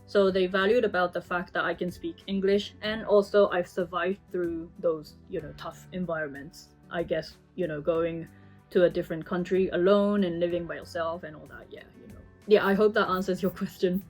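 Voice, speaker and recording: A woman's voice: on the low side, steady tone, moderate pace, soft background music. Chatty young woman vlogger. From Japan. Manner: chatty, many "you know" fillers. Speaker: vlogger answering viewer questions. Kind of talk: casual Q&A chat.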